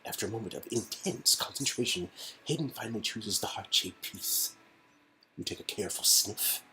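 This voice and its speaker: A man's voice: fairly low pitch, animated, normal speed, sharp tone, husky, rough recording. A man reading an interactive game aloud, mixing story narration with casual asides. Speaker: reader performing an interactive game.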